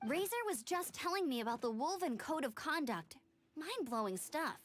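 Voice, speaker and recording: High, lively, bookish girl. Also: normal speed. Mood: cheerful. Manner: animated, explanatory. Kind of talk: video game voice acting.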